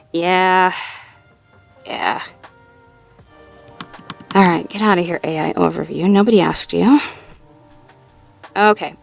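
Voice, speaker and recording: A woman playing a supportive, playful girlfriend in an intimate one-sided roleplay audio, talking gently and encouragingly with light teasing and natural hesitations. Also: lowish voice, takes it slowly, vocal fry, long pauses, faint music behind, full character voice. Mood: playful, amused. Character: supportive, playful girlfriend helping partner problem-solve.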